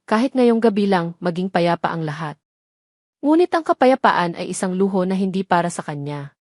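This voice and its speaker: A woman's voice: medium pitch, a little mellow, dry recording. Dry-sounding woman narrating dramatic fiction. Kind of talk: dramatic scripted story narration.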